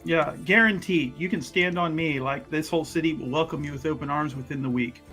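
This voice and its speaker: A man's voice: on the high side, a little fast, pushed and bright, soft background music. A man playing a tabletop roleplaying game, talking casually in character and repeating words as he playfully argues. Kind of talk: casual in-character improvised banter.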